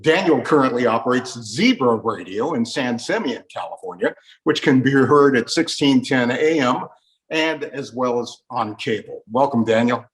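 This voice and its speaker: A man's voice: mid-range voice, animated, moderate pace, low-quality recording. A man with an American accent hosting a talk program, delivering scripted introductions like a radio presenter. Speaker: host.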